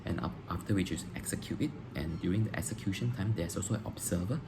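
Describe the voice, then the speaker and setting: A man, a teacher answering interview questions on video, pausing often with ums and restarting phrases. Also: low-pitched, gravelly. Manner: hesitant, frequent ums and restarts. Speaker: teacher applying for a job. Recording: noisy background hiss.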